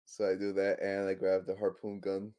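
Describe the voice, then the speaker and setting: A man's voice: deep voice, completely flat delivery, normal speed, warm tone, clear, resonant tone. A man playing a tabletop game, chatting casually and hesitantly with some swearing. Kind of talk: casual chat.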